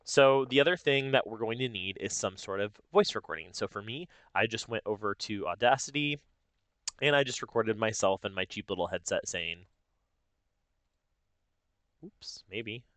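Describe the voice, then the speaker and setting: A man narrating a casual software tutorial step by step, informally thinking aloud as he goes. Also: on the low side, expressive, normal speed, no echo. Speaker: tutorial host.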